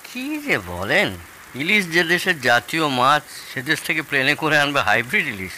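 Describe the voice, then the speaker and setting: An actor playing a proud, excitable host in his sixties in an audio drama, theatrical, boastful and needling. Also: a little high, normal speed, a little hiss or hum, full character voice, low-quality recording. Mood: indignant, boastful. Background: rain.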